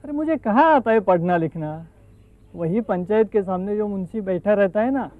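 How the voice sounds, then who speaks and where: An actor plays a good-natured, unlettered village man in a training film. He speaks simply in a high voice, through a muffled, lo-fi soundtrack. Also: normal speed, lightly acted. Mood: genial, sheepish. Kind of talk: acted film dialogue.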